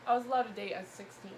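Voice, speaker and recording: A young American woman chatting casually as a guest, answering questions in short, informal replies. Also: medium pitch. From Massachusetts, United States. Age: young adult. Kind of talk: casual chat. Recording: noisy room recording, clear background noise.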